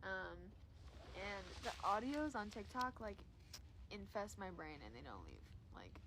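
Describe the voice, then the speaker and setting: A woman's voice: medium pitch, very animated, measured pace, noisy background. A woman in her twenties, chatting casually on a phone livestream from her room, rambling and swearing freely. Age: 20s. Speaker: social media creator and DJ. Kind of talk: casual livestream chat to viewers. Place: her room at home, indoors.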